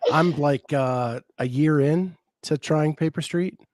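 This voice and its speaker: A man's voice: fairly high pitch, takes it slowly, darkish tone, dry recording, studio quality. A man hosting a podcast, chatting in an easygoing way with frequent hesitations. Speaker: podcast host. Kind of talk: casual chat.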